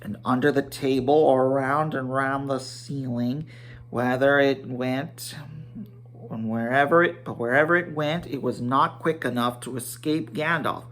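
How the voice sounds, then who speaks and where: A man giving a dramatic storybook reading, halting at times and correcting himself as he narrates. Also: mid-range voice, fairly even intonation, fairly bright tone, a little hiss or hum. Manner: halting, stumbling over words and correcting himself.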